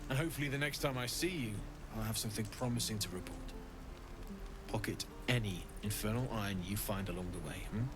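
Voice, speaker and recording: A man's voice: fairly low pitch, fast talker, natural voice. A man voicing an earnest blacksmith. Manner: earnest, thoughtful, technical. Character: kind, earnest male blacksmith tinkerer. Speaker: video game character. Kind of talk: video game voice acting. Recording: game audio with music underneath.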